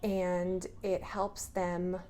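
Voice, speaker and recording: A woman's voice: on the low side, flat delivery, very slow, dark tone. A woman preschool teacher giving a hands-on, instructive lesson to young children, in simple words. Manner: instructive, asks the listener simple questions. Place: indoors at a table, drawing on paper cards.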